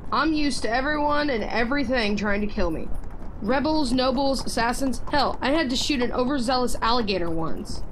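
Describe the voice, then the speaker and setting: A woman playing a brisk, battle-hardened royal bodyguard in an audio drama, with a dry, no-nonsense manner. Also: fairly high pitch, background noise, lightly acted.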